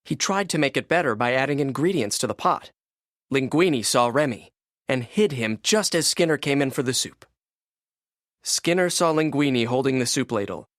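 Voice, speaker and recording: A man narrating a children's read-along storybook in an expressive, scripted storytelling manner. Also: medium pitch, normal speed, no room sound, clean studio sound.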